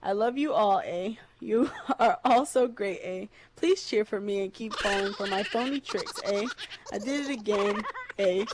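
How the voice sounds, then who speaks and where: A perky female wrestling champion from Canada, as a woman reader puts her on, speaks at a medium pitch. She is sugary and phony in a comic wrestling promo, hanging "eh" on the end of nearly every sentence. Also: a little slow, a little hiss or hum, natural voice. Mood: gushing, phony.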